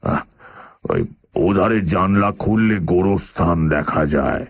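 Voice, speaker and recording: An actor playing a sinister, forbidding landlord in a horror audio drama, speaking in an ominous, halting way. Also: male voice, low-pitched, lively intonation, creaky, slightly rough, exaggerated voice. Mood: cryptic warning.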